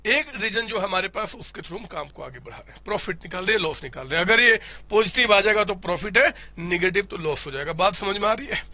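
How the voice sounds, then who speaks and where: Hoarse guy teaching accounts, high pitched, super bright voice, lively and joking. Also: fast talker, hardly any pauses, no echo. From India. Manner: animated, playful, addresses students as "my child"; voices students' questions himself. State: hoarse with a cold. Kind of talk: classroom lecture.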